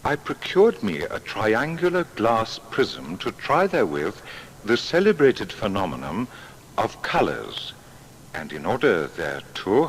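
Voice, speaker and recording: A scholarly seventeenth-century natural philosopher in a dramatised biography. His low voice moves expressively through antique, formal phrasing with modest precision. Some noise sits behind the recording. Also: poor audio. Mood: precise, absorbed. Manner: formal, measured, old-fashioned diction. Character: modest learned seventeenth-century male scientist, formal and measured. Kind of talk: dramatised historical biography reading.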